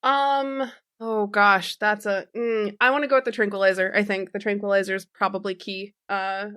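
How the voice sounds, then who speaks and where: A woman's voice: mid-range voice, fairly even intonation, normal speed, a bit bright, smooth. A woman talking casually as a player at a tabletop game, tentatively thinking her choices through aloud.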